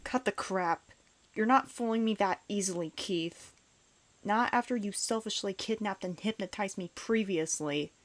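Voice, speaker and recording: A woman's voice: medium pitch, normal speed, lightly acted. A woman acting a bitter, despondent outcast in a comic dub, guarded, sullen and dismissive. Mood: curt, defiant.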